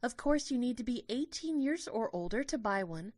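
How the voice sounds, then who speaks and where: A woman voicing a gentle, petite female tour guide who explains things politely to a group, in an audio drama. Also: a little high, lively intonation, normal speed, dry recording, clean studio sound, voice pushed up. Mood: pleasant. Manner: explanatory, polite public address.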